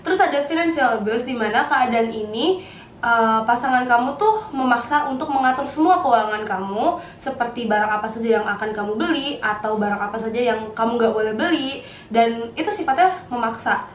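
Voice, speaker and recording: A woman's voice: on the high side, a little fast. An eighteen-year-old woman student, speaking in a casual panel discussion. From Indonesia. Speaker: university student. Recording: roomy, distant mic, background noise.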